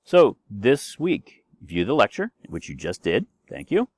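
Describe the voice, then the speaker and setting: A man's voice: lowish voice, wildly swooping pitch, measured pace, darkish tone, slightly rough, no room sound. A man in his sixties giving a recorded course introduction as the instructor, chatty and rambling with many fillers and restarts. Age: 60s.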